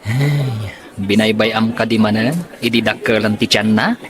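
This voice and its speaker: A man's voice: lowish voice, steady tone, unhurried, lightly acted. A man acting an inquisitive, skeptical young man in an Ilocano audio drama. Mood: probing. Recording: background music and crowd sounds.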